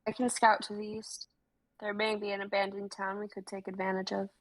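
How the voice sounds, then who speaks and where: A woman's voice: medium pitch, flat delivery, brisk, lightly acted, poor audio. A woman reading bold loyal warrior Chrysanthemum. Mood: resolute.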